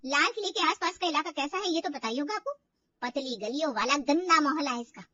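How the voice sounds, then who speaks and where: A woman's voice: high voice, lively intonation, a little fast, bright, forceful tone, dry recording, far from the mic, dull audio. A woman voicing a snobbish, mocking young student in a story, with a haughty, sneering manner. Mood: scornful.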